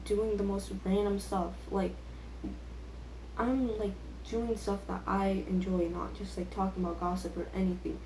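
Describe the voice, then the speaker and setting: A woman's voice: medium pitch, little pitch movement, normal speed, a little mellow. A teenage girl chatting casually and rambling, with a self-deprecating, youthful way of talking full of filler words. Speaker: vlogger. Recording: echoey room with faint background noise.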